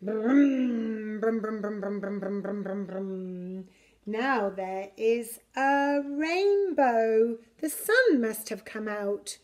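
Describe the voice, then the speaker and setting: A middle-aged woman reading a picture book to young children in an expressive, deliberate way. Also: a little high. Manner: slow, deliberate, expressive delivery for little children. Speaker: preschool story reader. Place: preschool classroom, indoors. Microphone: camera a short distance away.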